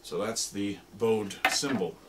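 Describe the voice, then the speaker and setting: A man's voice: lowish voice, takes it slowly, very noisy. A man giving an informal, explanatory demonstration of radio drama sound effects, piling up descriptive words as he talks.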